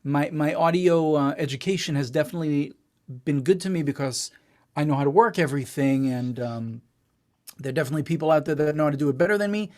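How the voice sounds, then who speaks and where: Relaxed American-sounding male musician. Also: a little high. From Israel. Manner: relaxed, self-deprecating, halting with many "uh"s. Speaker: guest musician and producer. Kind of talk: casual interview talk.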